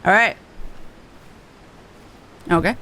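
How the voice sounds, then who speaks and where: A woman's voice: medium pitch, very slow, music in the background, low-quality recording. A woman chatting casually as she streams a game. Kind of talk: casual stream chat and read-aloud of game dialogue.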